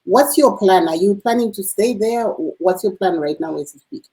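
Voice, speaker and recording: A woman hosting a radio interview, asking measured questions with occasional uh fillers. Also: medium pitch, dark tone, low-quality recording. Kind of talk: broadcast interview.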